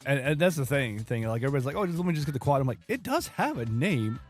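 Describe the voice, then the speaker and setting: A man with a hoarse voice co-hosts a podcast and talks over beers in a loose, rambling way. He laughs often and sits at a middle pitch. Faint music plays under him. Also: very animated, fast talker. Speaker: podcast co-host. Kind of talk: casual chat over beers.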